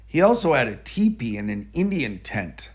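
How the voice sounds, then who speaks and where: A man narrating a children's picture book aloud in a deliberate, explanatory manner. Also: medium pitch, big pitch swings, husky. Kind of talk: children's storybook read-aloud.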